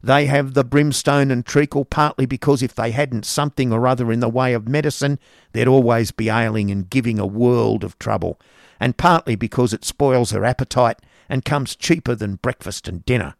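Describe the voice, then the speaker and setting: A man voicing a sharp-tongued, stingy woman who scolds bluntly, in an audiobook reading. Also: medium pitch, moderate pace, creaky, no echo, clean studio sound, lightly acted. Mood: scolding. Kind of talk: audiobook character voice.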